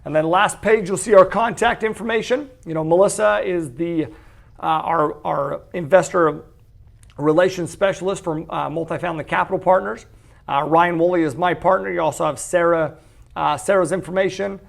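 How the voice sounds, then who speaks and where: A man's voice: high-pitched, moderate pace, vocal fry. A man presenting a real estate investment walkthrough in a conversational, explanatory way, often checking in with the listener. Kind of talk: webinar-style investment pitch, informal scripted walkthrough.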